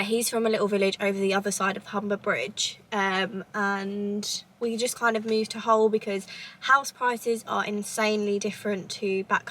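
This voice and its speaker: A woman's voice: mid-range voice, fairly bright tone. A woman in her twenties with a southern English accent, chatting casually to camera at home in a rambling way. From Cambridgeshire, England. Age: 20s. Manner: chatty, rambling, lots of "like" and "obviously". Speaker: vlogger. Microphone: camera in a holder.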